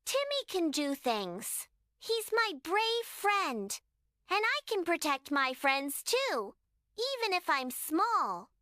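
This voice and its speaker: A woman's voice: very high voice, big pitch swings, slow pace, sharp tone, no room sound, studio quality, heavily acted. A woman voicing a small, earnest preschool girl who is loyal and brave, in a children's bedtime story.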